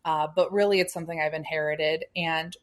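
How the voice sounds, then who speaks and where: A woman's voice: low-pitched, fairly even intonation, fairly quick. A young adult American woman, a podcast guest, explaining things thoughtfully with some fillers. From United States. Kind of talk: conversational interview.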